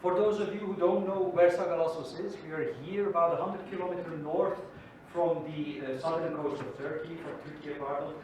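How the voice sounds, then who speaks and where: A man from Belgium, an archaeologist giving a friendly lecture with many hesitant "uh" pauses. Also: on the high side, fairly even intonation, darkish tone, slight background noise. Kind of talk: lecture presentation. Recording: distant mic in an echoey hall.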